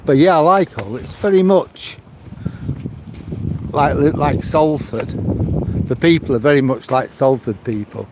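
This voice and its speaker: A man's voice: medium pitch, a little slow, pauses often. An older Mancunian man, past seventy, chats on a phone live stream while out on a seaside promenade at night. He rambles, greets viewers by name and talks in a middling voice. Heavy wind buffets the mic and the sea roars behind him. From Manchester, England. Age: 70s. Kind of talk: casual live-stream chat reading viewer comments. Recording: loud wind noise on the mic. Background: wind and sea noise.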